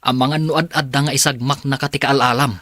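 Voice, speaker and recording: A man's voice: mid-range voice, fairly even intonation, normal speed, pushed and bright, dry recording, clean studio sound. A man voicing a stern, blunt company manager in a radio drama.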